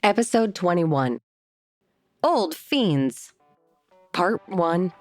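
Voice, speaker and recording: A woman announcing episode titles for an audio drama in a scripted, deliberate read. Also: fairly low pitch, very animated, darkish tone, no echo, soft background music.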